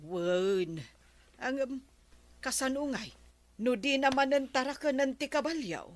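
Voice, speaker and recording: A woman's voice: high voice, lively intonation, unhurried. A woman with an Ilocano accent playing an inquisitive village confidante in a radio comedy drama. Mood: curious.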